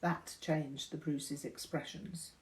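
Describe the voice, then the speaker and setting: An older woman reading a memoir aloud in a measured, deliberate storytelling manner, indoors. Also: deep voice, fairly even intonation. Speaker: reader of a memoir. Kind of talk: audiobook-style narration of a memoir. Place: indoors, small room.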